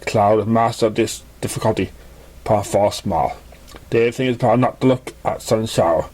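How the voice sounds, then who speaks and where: Man haltingly narrating a story. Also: on the low side, a little mellow. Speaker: story reader. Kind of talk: scripted narration of a fan fiction story.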